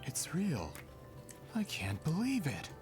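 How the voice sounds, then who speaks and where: Expressive, composed male expedition leader. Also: fairly high pitch, slow pace, exaggerated voice. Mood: intent. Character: smooth-talking, driven male leader of a research expedition. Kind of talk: video game voice acting. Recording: prominent game music behind voice.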